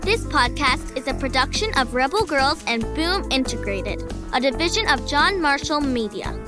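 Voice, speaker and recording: A woman's voice: sits high, lively intonation, moderate pace. A female announcer reading scripted podcast credits. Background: music under the voice.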